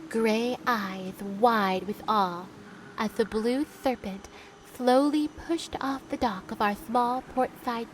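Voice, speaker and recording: A bitter young woman who longs to roam the sea, telling her own tale in an audio drama. Her voice is fairly high and very unhurried, with theatrical weight on her words. A faint bed of noise sits behind her. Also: rough recording. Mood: wry, triumphant. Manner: deliberate, theatrical storytelling. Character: bitter, adventurous young seafaring woman recounting her youth. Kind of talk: scripted dramatic monologue narration.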